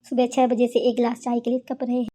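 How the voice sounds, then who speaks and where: Village mother-in-law, female actor, cartoon: older, aggrieved, plaintive, mellow with a fairly flat delivery. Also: on the high side, quick pace, close to an everyday voice. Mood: exasperated. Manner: plaintive, grumbling. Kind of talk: cartoon character dialogue.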